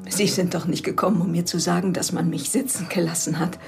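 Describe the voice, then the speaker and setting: A woman's voice: lowish voice, flat delivery, a little fast, vocal fry, over music. An actress playing a proud, guarded elegant woman who answers in a clipped, defensive way. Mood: guarded, cold. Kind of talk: radio play acting.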